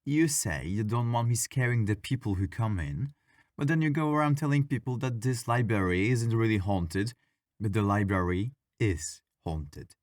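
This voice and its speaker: A man playing a friendly, bored, mischievous ghost in an intimate ASMR audio roleplay, talking in a playful, wry, gently argumentative way. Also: fairly low pitch, lively intonation, moderate pace, no echo, studio quality, close to an everyday voice. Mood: defensive, teasing.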